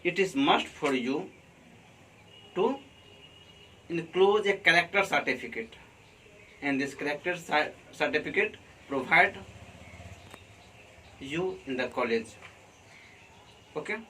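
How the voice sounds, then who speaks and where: A man with an Indian accent teaches a classroom lesson at an unhurried, measured pace, pausing to check in with the class. His voice sits a bit high for a man. He is picked up from some distance, with a faint hum of room ambience behind him. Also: expressive, pushed and bright. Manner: slow and deliberate, keeps checking in with "okay?". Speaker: college teacher. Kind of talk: classroom lesson on letter writing.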